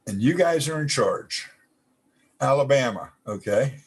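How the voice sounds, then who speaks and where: A man's voice: mid-range voice, expressive, measured pace, husky. A man hosting a casual online community chat, talking in a folksy, rambling way with restarts. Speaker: host.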